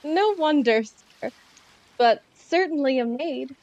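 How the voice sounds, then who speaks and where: High, slow, innocent maiden , acted by a woman. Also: expressive, darkish tone, muffled recording, heavily acted. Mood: shy. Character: innocent, wide-eyed, lovestruck maiden daughter. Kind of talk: Shakespearean audio drama acting.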